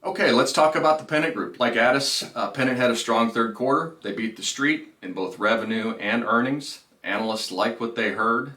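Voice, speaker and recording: A man's voice: medium pitch, moderate pace, few pauses, pushed and bright. A man giving businesslike financial commentary as a presenter, stressing key phrases in an informal way. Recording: roomy echo, distant microphone.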